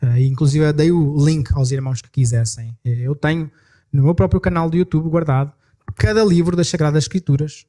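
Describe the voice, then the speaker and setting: A male pastor with a European Portuguese accent, preaching and reading Bible passages aloud, slowing and lifting his voice on the verses and hurrying through asides. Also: a little high, fairly even intonation, dark tone. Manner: reads verses slowly with rising emphasis, then talks quickly between passages; self-correcting, apologetic asides. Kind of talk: sermon with scripture reading.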